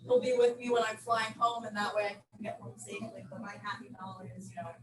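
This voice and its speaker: A young woman speaking loudly and emotionally at a club meeting, rambling a little. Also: mid-range voice, steady tone, fast, over a noisy crowd. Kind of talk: casual sharing at a meeting. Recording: distant from the mic in an echoey room with people around.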